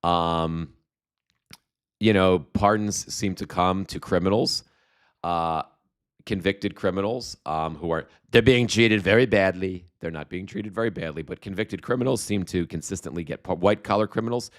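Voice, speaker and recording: A man hosting a live stream with a slight cold and a voice going hoarse, chatting in a rambling, halting way full of fillers and restarts while reading viewer comments. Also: low voice. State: slight cold, losing his voice. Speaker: livestream host.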